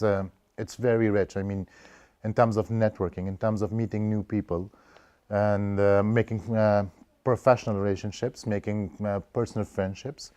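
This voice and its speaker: Deep-voiced young man from Afghanistan. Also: a little mellow, smooth. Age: young adult. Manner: hesitant, many "uh" fillers, earnest. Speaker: anti-corruption training participant. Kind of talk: interview testimonial.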